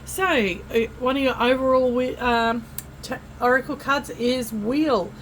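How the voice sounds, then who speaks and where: An Australian woman giving a casual tarot reading to camera, chatty and friendly, often pausing with fillers and restarting. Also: high-pitched, fairly even intonation, a bit bright, slight background noise. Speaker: tarot reader.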